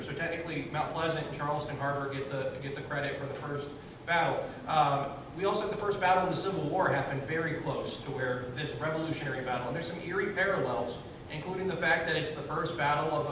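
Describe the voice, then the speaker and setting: A man giving a loud, chatty public history lecture to an audience, full of fillers and restarts. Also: on the high side. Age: 30s. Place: large echoey room, in front of an audience. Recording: very echoey room, distant, audible noise.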